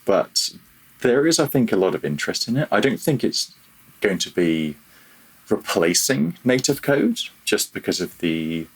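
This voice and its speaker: Low-voiced man, halting and self-deprecating. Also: big pitch swings, slightly rough, low-quality recording. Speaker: interview guest, freelance audio developer. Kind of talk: casual conversational interview.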